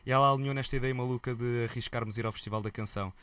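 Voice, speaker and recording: A man with a European Portuguese accent, a musician guest telling stories in a relaxed, colloquial interview chat. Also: medium pitch, racing, clean tone. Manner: lively storyteller, relaxed and colloquial.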